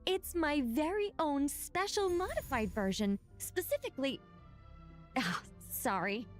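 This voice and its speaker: A woman's voice: sits high, unhurried, soft background music. A woman playing a bubbly, proud chatterbox in a video game, who keeps interrupting herself. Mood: proud, flustered. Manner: animated, self-interrupting.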